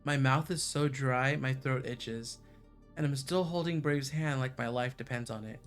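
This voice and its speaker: A male actor plays an anxious young man telling his own story in a visual novel. His voice sits in a middle register, introspective and uneasy. Music plays softly underneath. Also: mid-range voice, normal speed, lightly acted. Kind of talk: first-person visual novel narration.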